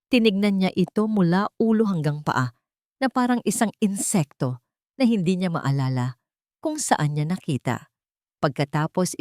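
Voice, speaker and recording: A Filipino woman narrates a dramatic story in a fairly low voice. The recording is dry and close, with very little room around it. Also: a little mellow, dry recording.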